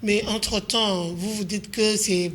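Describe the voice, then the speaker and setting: A woman's voice: medium pitch, steady tone, unhurried, a bit bright, slight background noise. A woman with a Congolese accent hosting a political interview and putting questions to her guest. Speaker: interviewer, host.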